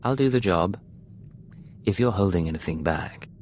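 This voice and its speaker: An actor playing a cool, defiant intelligence agent in a video game, terse and confrontational. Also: male voice, low voice, expressive, moderate pace, darkish tone, a little hiss or hum. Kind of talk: dramatic video game voice acting.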